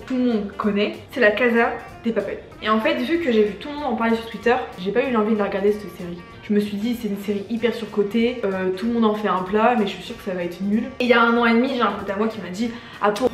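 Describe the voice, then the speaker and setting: A woman's voice: fairly high pitch, roomy sound. A young woman YouTuber chatting casually to camera, slangy and full of swearing. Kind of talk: casual chat.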